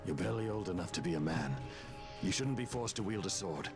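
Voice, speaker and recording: An actor playing a weary, compassionate knight captain with a commanding manner in a fantasy video game. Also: male voice, low-pitched, expressive, fast talker. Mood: grave concern. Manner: grave, concerned, commanding. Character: weary, compassionate male knight captain, protective of young soldiers. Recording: quiet game audio under music.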